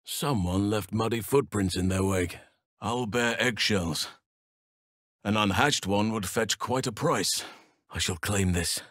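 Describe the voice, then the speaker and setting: A man voicing a noble, gentle-mannered archdruid in a fantasy video game, speaking in a measured, earnest, formal way. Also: on the low side, dry recording, clean studio sound. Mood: curious, calm. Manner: measured, earnest, formal, old-fashioned phrasing.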